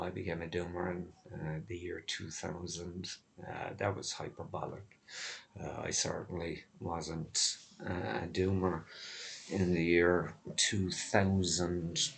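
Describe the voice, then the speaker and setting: Slow, low, hoarse, gloomy man. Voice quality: hoarse, breaking voice. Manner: morose, weary, sardonic gloom; halting, with long pauses. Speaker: vlogger. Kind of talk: rambling personal monologue to camera.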